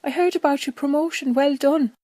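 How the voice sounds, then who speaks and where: A woman plays a doting grandmother's ghost, chatting fondly in a spooky story. The voice sits high and mellow, recorded close and dry. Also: fairly even intonation, fairly quick, no echo, natural voice. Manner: affectionate, doting. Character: dead grandmother's ghost on the phone, fond and chatty. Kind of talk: dramatised storytelling dialogue.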